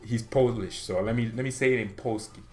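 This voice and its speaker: A man's voice: mid-range voice, a little fast, darkish tone, faint music behind. A young man hosting a fan channel, talking casually and opinionatedly, rambling with restarts and getting heated. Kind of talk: casual solo commentary.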